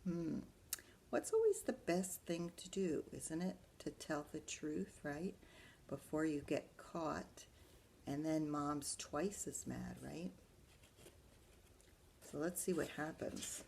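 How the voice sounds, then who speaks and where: Low-voiced woman reading to children, slightly creaky. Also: takes it slowly, darkish tone, slight background noise. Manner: addresses young children, explanatory, asks rhetorical questions. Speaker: school librarian reading to children. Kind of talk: animated children's storybook read-aloud with asides.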